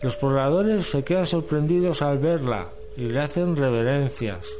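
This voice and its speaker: A man's voice: on the high side, lively intonation, normal speed, darkish tone. A man reading scripted storytelling narration as the narrator of an amateur radio drama. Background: music.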